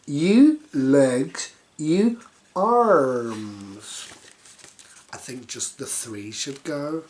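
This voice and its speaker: A woman's voice: very deep voice, expressive, extremely slow, a little mellow, slight background noise. A woman reading a children's story aloud in a silly, theatrical way, dropping in playful asides.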